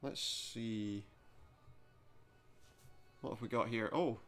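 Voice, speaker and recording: A man's voice: lowish voice, slow pace, soft background music. A man giving casual let's play commentary on a game, with dry joking asides. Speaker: gaming video host.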